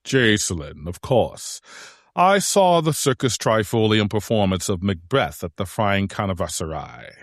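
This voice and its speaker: A man's voice: on the low side, sing-song, a little slow, dry recording, studio quality. A man playing a grandiose uncle who guards a hidden realm, speaking floridly in an audio drama. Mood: genial, courtly. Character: theatrical, gruff-then-genial uncle guarding a hidden realm. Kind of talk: audio drama character acting.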